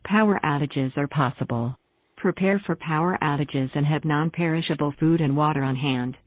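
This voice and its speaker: A woman's voice: low-pitched, moderate pace, no echo. A woman reading a formal, scripted emergency notification, heard as a phone recording. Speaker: emergency alert announcer. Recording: tinny phone line, played back.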